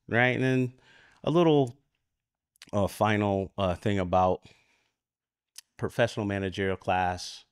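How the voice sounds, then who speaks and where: Low, clear-voiced American man presenting. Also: no room sound, studio quality. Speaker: conference speaker. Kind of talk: conference talk, explanatory and informal. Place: conference room at a lectern.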